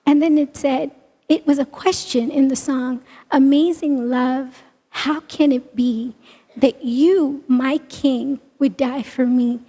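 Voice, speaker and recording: Soft-voiced woman in an echoing hall. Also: high voice, steady tone. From India. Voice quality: soft small voice. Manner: halting, emotional, many restarts. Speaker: guest giving testimony. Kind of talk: church testimony. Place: large church auditorium. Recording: long reverb of a large hall.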